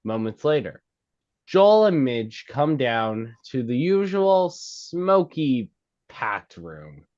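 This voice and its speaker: Dull lo-fi sound, male narrator, unhurried, lively pitch, screenplay reading. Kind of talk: reading screenplay stage directions.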